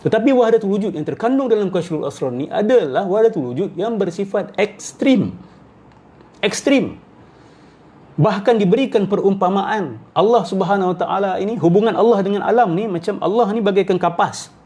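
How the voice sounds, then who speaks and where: A man's voice: sits high, lively intonation, moderate pace, darkish tone. A middle-aged man giving off-the-cuff, argumentative religious commentary, repeating his phrases and firing rhetorical questions.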